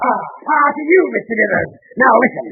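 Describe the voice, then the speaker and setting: A blustering, cantankerous businessman in 1940s radio comedy. His high voice swings widely as he argues brusquely, heard through a thin, tinny vintage broadcast. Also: animated, fairly quick, husky, exaggerated voice. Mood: indignant, blustering. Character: blustering, cantankerous boss and indignant shopkeeper. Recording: tinny, band-limited 1940s radio recording.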